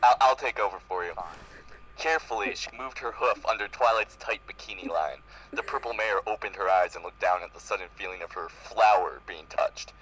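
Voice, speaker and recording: A man's voice: lowish voice, a little fast, a bit bright, a little hiss or hum, low-quality recording. A man reading a story aloud as an amateur, with casual asides. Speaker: reader in a group fan fiction reading.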